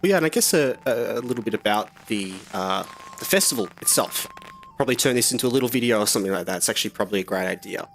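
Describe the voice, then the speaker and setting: A man's voice: fairly low pitch, rapid-fire, slight background noise, rough recording. A young man casually talking through a game on a live stream, rambling with lots of fillers while slightly sick and sniffly. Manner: relaxed, rambling, many fillers.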